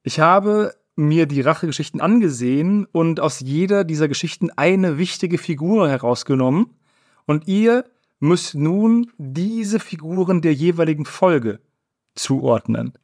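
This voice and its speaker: Ein Mann, der als Quizleiter in einem lockeren Podcast Begriffe vorliest und erklärt. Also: male voice, fairly high pitch, lively intonation, measured pace, darkish tone. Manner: reads definitions aloud, explains. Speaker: quiz host. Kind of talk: casual podcast quiz.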